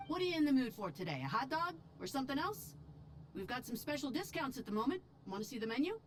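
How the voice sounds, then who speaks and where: A woman's voice: sits high, big pitch swings, fast, a little hiss or hum. A woman voicing a friendly, chatty food stall server in a video game.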